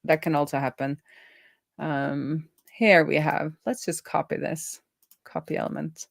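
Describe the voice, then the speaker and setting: A woman live-streaming as a programmer, thinking aloud in a casual, halting way as she mutters through her work. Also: deep voice, fairly even intonation, creaky, dry recording, studio quality. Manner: halting, muttering to herself while working.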